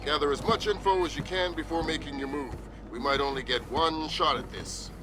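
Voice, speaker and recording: An authoritative middle-aged commander played by a man gives brisk orders in a slightly high voice, in spy game style. Prominent music plays behind him. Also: natural voice, low-quality recording. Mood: serious. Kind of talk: video game voice acting.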